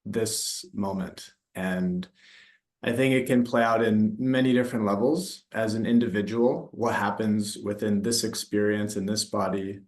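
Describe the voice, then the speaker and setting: Calm American man in a roomy space. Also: on the low side. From United States. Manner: calm, thoughtful, searching for words. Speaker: somatic therapist, workshop facilitator. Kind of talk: reflective interview answers. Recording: roomy echo.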